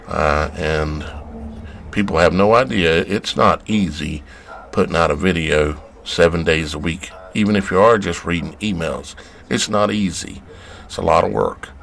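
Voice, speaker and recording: A man with a gravelly voice, speaking casually to viewers as a show host. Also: low-pitched, measured pace, fairly bright tone, slight background noise. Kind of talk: casual spoken introduction to viewers.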